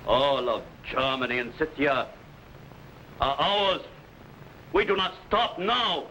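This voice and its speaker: Big boastful barbarian warlord, forceful bright voice, drags out his threats slow. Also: a little high, expressive, lo-fi sound, slight background noise, full character voice. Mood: menacing boast. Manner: grandiose, menacing, slow dramatic pauses. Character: boastful ruthless adult male barbarian warlord. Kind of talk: 1950s TV serial acting.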